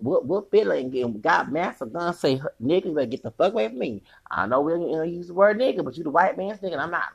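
A man with a feminine-sounding voice rants alone on his podcast, rambling and profane. His tone is husky and gravelly, and his pitch leaps all over the place, while laughing, sing-song asides cut in. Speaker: podcast host. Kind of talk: rambling solo rant.

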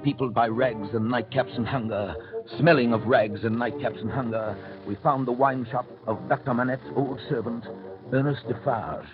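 An actor playing a reserved, businesslike English banker and narrator in an old radio drama, with a measured, formal way of talking. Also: male voice, mid-range voice, fairly even intonation, music in the background, close to an everyday voice. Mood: sombre narrating. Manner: measured, formal, hesitant at delicate news. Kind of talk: 1930s radio acting. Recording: dull, noisy old radio recording.

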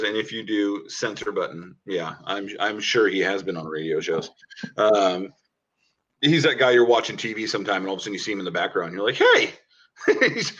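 Bright-voiced laid-back male podcast host. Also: fairly low pitch. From Washington state. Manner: laid-back, says dude and bro. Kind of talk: casual chat. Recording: dull lo-fi sound.

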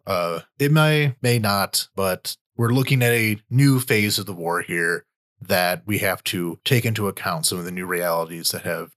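A man's voice: on the low side, fairly bright tone. A man hosting a podcast, talking through war news in a loose, conversational way and often restarting his sentences. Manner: loose, thinking aloud with frequent restarts. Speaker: podcast host and war reporter.

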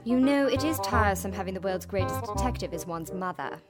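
A woman's voice: mid-range voice, lively intonation, brisk, lightly acted. A woman playing a spirited young daughter of a great detective in a steampunk radio drama, arch and theatrical. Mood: eager, arch. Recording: loud music behind the voice.

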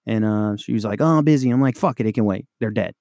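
Guy, gravelly, cusses constantly telling cop stories, dead dry room. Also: lowish voice, fast, warm tone, dry recording. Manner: swears a lot, laughs, tells war stories. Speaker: podcast co-host, police officer. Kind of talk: casual profane chat.

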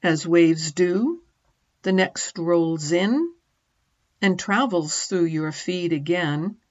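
A middle-aged woman narrating a guided meditation as a teacher, gently and in short measured phrases with long pauses. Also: lowish voice, expressive, lo-fi sound.

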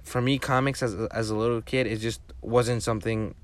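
A man's voice: mid-range voice, flat delivery, a little fast. A man whose first language is Spanish, hosting a casual solo podcast and rambling with enthusiasm through many restarts. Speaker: podcast host.

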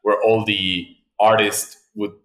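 Low-voiced man in a roomy space. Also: level tone, slow pace, a bit bright. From Argentina. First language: Spanish. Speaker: podcast guest, tech entrepreneur. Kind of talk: casual conversational storytelling. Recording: roomy, echoey sound.

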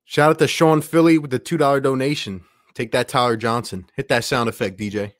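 A man hosting a sports livestream in an excited, casual way, reading out viewer messages with plenty of slangy exclamations. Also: mid-range voice, fairly quick. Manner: slangy, exclamatory, addresses listeners as man and bro. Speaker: livestream host.

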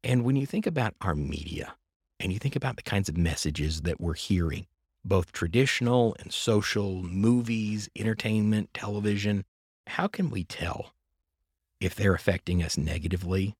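A man's voice: lowish voice, moderate pace, husky, dry recording, clean studio sound. A middle-aged man hosting a faith-based podcast, talking earnestly and reflectively in long linked thoughts. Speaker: podcast host.

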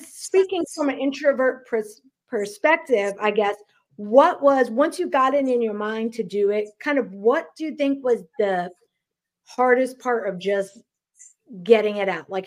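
New lady hosting a podcast, kinda high voice, chatty and quick, lots of restarts. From New York. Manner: fast, chatty, frequent restarts and fillers. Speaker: podcast host. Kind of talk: casual interview chat.

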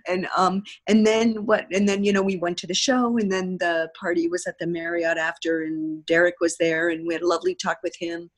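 A woman's voice: on the low side, steady tone, fairly quick, few pauses. A woman speaking as a podcast guest in a casual interview, telling anecdotes in a chatty way and acting out remarks. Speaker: podcast guest, theatre producer. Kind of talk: animated storytelling in casual interview.

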